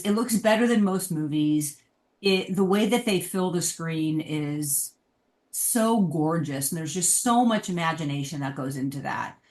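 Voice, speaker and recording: A low-voiced woman chats as a guest on a panel show. She gives her opinions in a measured, thoughtful way, and the room around her echoes noticeably. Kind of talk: casual panel discussion. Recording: roomy, echoing sound.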